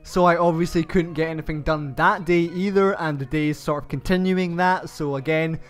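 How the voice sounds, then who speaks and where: Young male tutorial host, pitched high and congested, walks through steps slowly over soft background music. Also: fairly even intonation. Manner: slow, methodical step-by-step instructions. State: stuffed-up nasal voice from hay fever. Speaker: channel host. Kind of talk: game build tutorial voice-over.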